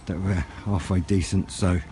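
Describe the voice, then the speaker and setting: Low-voiced British man rambling outdoors. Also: fairly even intonation, warm tone. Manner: rambling, unhurried, low-key. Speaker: radio hobbyist vlogger. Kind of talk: casual outdoor vlog chat. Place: outdoors on wooded high ground by a road. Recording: outdoor noise with passing vehicles.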